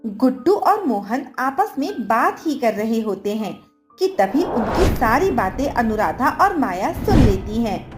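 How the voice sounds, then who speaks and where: High-voiced, unhurried woman storyteller. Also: big pitch swings, music in the background. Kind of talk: scripted dramatic story narration.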